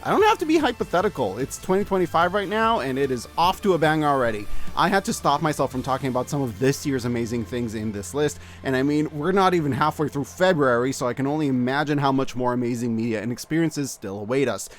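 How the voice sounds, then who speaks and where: A man narrating a scripted review video as its host, in a casual, wry and expressive way. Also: mid-range voice, fast, hardly any pauses. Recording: background music under the voice. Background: background music.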